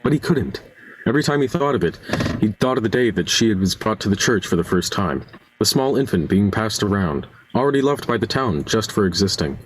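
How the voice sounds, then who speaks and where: A man with a husky voice narrating a scripted amateur radio play. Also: lowish voice, animated, brisk, a little hiss or hum, close to an everyday voice, poor audio.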